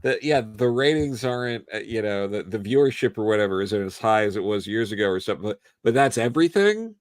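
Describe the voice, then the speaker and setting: A man chatting casually as a guest, starting and restarting his sentences in a halting way. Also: lowish voice, lively intonation, no room sound. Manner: halting, repeats words as he starts. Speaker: guest on a fan live stream. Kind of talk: casual chat.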